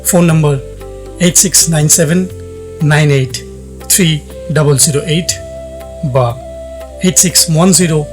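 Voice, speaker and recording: High-pitched male announcer over soft music. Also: measured pace, long pauses. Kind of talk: scripted outro announcement. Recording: soft guitar music behind.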